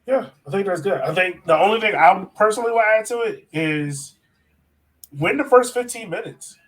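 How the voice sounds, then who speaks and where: A man's voice: high voice, lively intonation, normal speed, a bit bright. An American man hosting a sports podcast in casual, chatty conversation. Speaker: podcast host.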